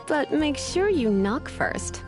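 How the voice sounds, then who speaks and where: Languid librarian sorceress for a fantasy game: highish voice, unhurried, coy and teasing drawl. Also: female voice, very animated, full character voice. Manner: flirtatious, drawling, sleepy. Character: languid, teasing adult female mage turned librarian. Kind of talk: video game character voice lines. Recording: music behind the voice.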